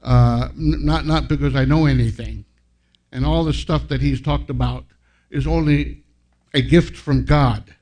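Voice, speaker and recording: A man in his seventies giving an informal sermon as a guest pastor, telling personal stories in a halting, self-deprecating way. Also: medium pitch, fairly even intonation, darkish tone. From San Francisco, United States, born to a Filipino family. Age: 70s.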